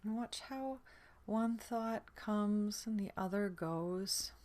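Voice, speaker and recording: A woman with a mid-pitched, soft voice leads a sleep meditation. She speaks slowly and gently, leaving room between phrases. Her words often fade into a breathy, soothing near-whisper. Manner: soothing, gentle, unhurried with long pauses. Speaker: guided meditation instructor.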